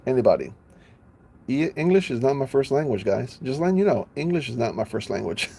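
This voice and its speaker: A man's voice: medium pitch, animated, fairly quick, creaky, dark tone, breathy edge. A man chatting casually on a live stream, playful, laughing often and stumbling over names.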